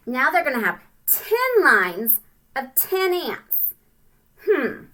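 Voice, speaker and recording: A woman reading a picture book aloud to children, expressive and singsong, with chatty asides and questions to the listener. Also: sits high, takes it slowly. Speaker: read-aloud storyteller.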